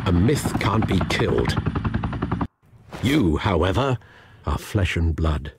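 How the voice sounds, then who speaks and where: A man acting as a grim, brooding masked vigilante with a gravelly, menacing delivery in a video game. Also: low-pitched, very animated, takes it slowly, very noisy, heavily acted. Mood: grim, solemn.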